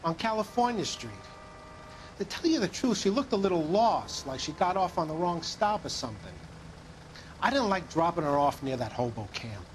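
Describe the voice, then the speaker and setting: An actor playing a plain, folksy, cooperative bus driver in a 1940s crime drama game. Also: male voice, a little high, very animated, moderate pace, slight background noise. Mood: obliging.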